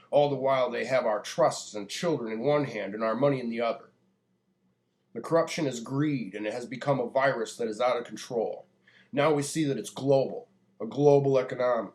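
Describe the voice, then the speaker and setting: An American man speaks as if giving a public address. His delivery is deliberate and declarative, with an earnest, preachy tone suited to a prepared monologue. His voice sits at a middling pitch. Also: a little mellow. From United States.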